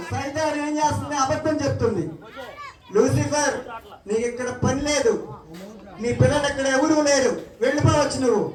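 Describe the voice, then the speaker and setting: Echoey high-voiced woman hosting for children. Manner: questioning, scolding, prompting the audience. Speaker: puppet show presenter. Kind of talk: interactive children's gospel show patter. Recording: echoey distant PA, noisy crowd.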